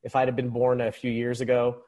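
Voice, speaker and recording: A man's voice: medium pitch, completely flat delivery, a little fast, mellow. A man in his thirties from the Philippines, talking casually about sports as a podcast guest. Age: 30s. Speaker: podcast guest, football supporters' club member. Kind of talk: casual conversational sports commentary.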